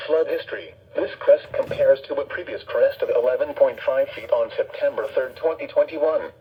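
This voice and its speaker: A synthetic male announcer reading a scripted emergency weather bulletin in a flat, formal way through a weather radio speaker. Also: medium pitch, normal speed, husky. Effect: played through weather radio speaker. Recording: dull radio speaker, faint background noise.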